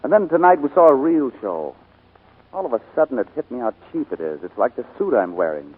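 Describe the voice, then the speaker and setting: An actor playing an earnest, proud young small-town songwriter in 1940s radio style. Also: male voice, medium pitch, sing-song, a little fast, slightly rough. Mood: earnest, regretful. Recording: tinny scratchy 1940s radio broadcast.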